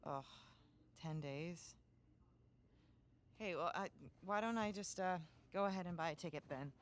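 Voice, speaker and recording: An actress playing a caring adult wife in a video game, gently checking on her husband. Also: on the low side, measured pace, lightly acted. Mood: concerned. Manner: concerned, gentle.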